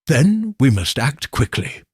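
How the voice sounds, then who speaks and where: Man voicing an earnest sidekick, pressing, slightly husky, slow. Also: mid-range voice, big pitch swings, no room sound, clean studio sound, pitched above the performer's own voice, full character voice. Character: earnest, loyal male doctor companion.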